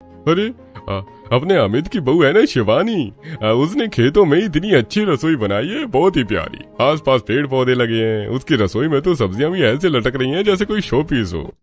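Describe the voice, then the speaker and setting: A man's voice: medium pitch, very animated, moderate pace, faint music behind, lightly acted. A man playing a friendly middle-aged village uncle in a cartoon, exclaiming in a folksy, amazed way. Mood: excited. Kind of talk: Hindi cartoon character dialogue.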